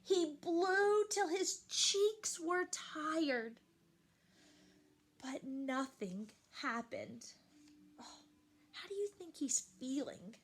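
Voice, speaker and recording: High pitched lady doing storytime for little kids, super animated, takes it slow and asks the listeners stuff. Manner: animated, slow and deliberate, addressing young children. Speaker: storyteller reading a picture book aloud to children. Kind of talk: expressive children's read-aloud with questions to listeners.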